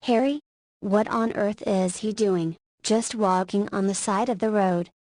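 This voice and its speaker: Woman performing a motherly school principal in her forties, mid-pitched, gently bantering, visual novel character, close dry sound. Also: a little slow, no echo, close to an everyday voice. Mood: curious, surprised. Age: 40s. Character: caring, playfully teasing 40-year-old woman school principal.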